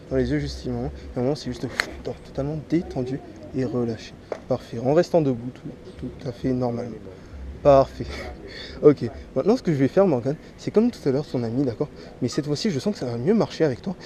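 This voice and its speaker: A man from Martinique with a slight head cold, working as a street hypnotist. He gives a volunteer slow, repeated commands that drop soft and low. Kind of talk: stage hypnosis patter with a volunteer. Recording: noisy crowd around the mic. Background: chattering crowd.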